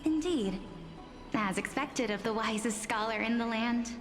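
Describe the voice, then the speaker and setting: Gentle, high-voiced young woman, acted, unhurried. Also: music in the background, lightly acted. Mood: flustered, amused. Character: gentle, earnest young woman facing a fated sacrifice. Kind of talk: scripted game dialogue acting.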